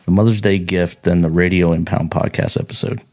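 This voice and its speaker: A man's voice: low voice, fairly even intonation, a little fast, darkish tone, soft background music. A man hosting a casual podcast, joking around and chatting easily with his co-host. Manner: joking, playful. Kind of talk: casual chat.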